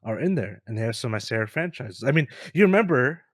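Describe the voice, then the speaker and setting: A man with a medium-pitched voice co-hosts a gaming show. He chats informally and earnestly, with an appreciative way of talking, in a dry recording free of room echo. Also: big pitch swings, fast. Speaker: co-host of a gaming news show. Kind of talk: casual chat.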